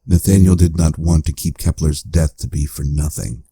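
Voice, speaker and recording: A man playing a calm, analytical AI companion in a cyberpunk audio drama, speaking in a measured, formal, clinical way. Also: very deep voice, slightly rough, no echo, exaggerated voice. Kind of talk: scripted audio drama narration.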